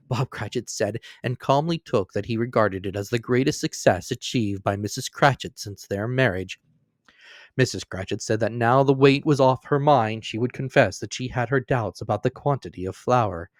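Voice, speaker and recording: A man's voice: mid-range voice, moderate pace, dry recording, clean studio sound. A man narrating a classic story as a dramatic reading, lifting and dropping his voice for emphasis. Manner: expressive, rising and falling for emphasis.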